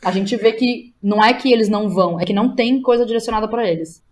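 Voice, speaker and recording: A woman's voice: mid-range voice, fast talker, crowd noise. A Brazilian woman chatting casually as an interview guest, with informal slang and frequent tag questions. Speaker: interview guest, playwright and theatre director. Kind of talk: casual podcast conversation.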